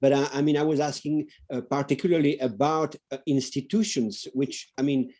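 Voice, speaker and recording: A man's voice: mid-range voice, steady tone, moderate pace, darkish tone. A man from Belgium with a French accent, a researcher earnestly asking a question in an online roundtable and searching for his words. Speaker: researcher, panel participant. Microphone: video call.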